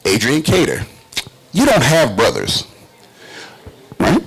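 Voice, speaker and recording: A man's voice: a little high, huge pitch swings, takes it slowly, a bit bright, husky, rough recording. A man with a conversational interviewing style, talking as a panel interviewer. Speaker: panel interviewer, youth worker.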